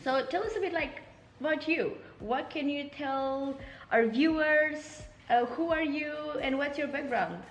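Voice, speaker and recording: A woman's voice: sits high, a little slow, soft background music. A woman hosting a conversational video interview. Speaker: interview show host.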